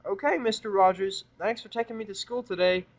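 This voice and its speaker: A kindly young filly from a pony story, as a man puts her on. The pitch is raised well above his own. She sounds earnest and friendly. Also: brisk, close to an everyday voice. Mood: grateful.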